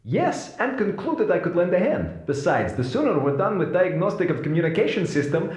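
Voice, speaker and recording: A sinister space station doctor. His voice is high for a man and swings widely in pitch, with clipped, oily politeness, in a roomy echo, as in a radio drama. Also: sing-song, brisk, a little mellow. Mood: eagerly menacing. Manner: clipped formal phrasing, dropping articles, oily politeness.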